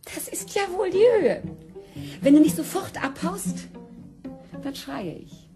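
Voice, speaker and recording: Scornful, high-voiced schemer. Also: female voice, big pitch swings, slow pace, faint music behind, exaggerated voice. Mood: indignant. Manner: dismissive, sharp-tongued. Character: cold, scornful woman, fed-up schemer. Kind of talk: German crime radio play acting.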